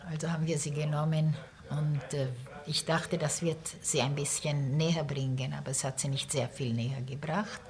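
An older woman speaking in a calm, measured way during an interview about her family. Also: sits low. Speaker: interviewee and author. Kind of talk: conversational interview. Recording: roomy room with faint ambience.

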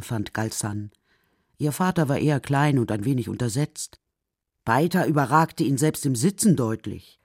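A man's voice: mid-range voice, darkish tone, husky, dry recording. A man reading scripted narration for a children's radio play.

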